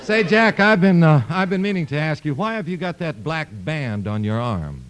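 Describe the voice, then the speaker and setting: A man acts as an easygoing bandleader in a 1950s radio comedy. His voice sits fairly high and moves around in pitch as he wisecracks in a folksy, informal way. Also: animated, moderate pace, a faint crowd, slight background noise, natural voice. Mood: breezy.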